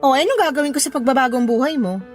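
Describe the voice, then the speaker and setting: A woman's voice: sits high, rapid-fire, soft background music, natural voice. A woman acting in a Tagalog radio drama as a hurt, angry young mother who scolds and rejects her unfaithful ex. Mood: bitter, defiant. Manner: scolding, emphatic, sarcastic.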